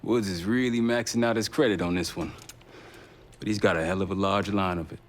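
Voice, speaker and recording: An actor playing a frank, streetwise American covert operative in a military game, talking colloquially. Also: male voice, deep voice, fairly even intonation, brisk, close to an everyday voice. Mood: candid, wry. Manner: colloquial, plain-spoken. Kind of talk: cinematic military game acting.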